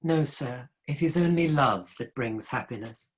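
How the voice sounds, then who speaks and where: An earnest young Victorian gentleman with a defiant streak, acted by a man. The voice sits at a medium pitch and the delivery is that of a formal stage play. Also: unhurried, close to an everyday voice. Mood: earnest, lovestruck. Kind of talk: dramatic play reading.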